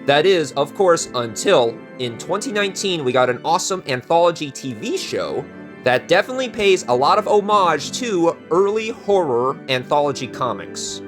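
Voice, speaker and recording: Chatty man with a lively delivery. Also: on the high side, normal speed, soft background music. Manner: chatty, opinionated. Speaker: video creator and presenter. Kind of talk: casual video introduction.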